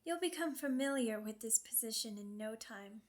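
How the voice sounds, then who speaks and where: A woman's voice: fairly high pitch, animated, lightly acted. A woman voicing a seductive, sadistic female vampire in an intimate ASMR roleplay, commanding and possessive, with condescending mock tenderness. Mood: cold, commanding. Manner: slow, deliberate, condescending pet names, teasing menace.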